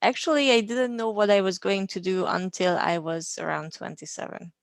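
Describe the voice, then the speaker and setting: A woman's voice: medium pitch, steady tone, normal speed, creaky, no room sound, studio quality. A Hungarian woman in her thirties, a guest in a casual interview, talking in a friendly way with frequent ums and light laughs. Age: 30s.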